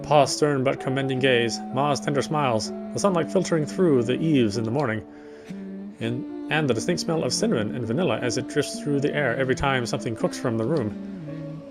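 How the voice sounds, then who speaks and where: A man reading a horror game story aloud, with expressive, lingering narration. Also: mid-range voice, fairly quick, music in the background. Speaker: let's player reading a visual novel aloud.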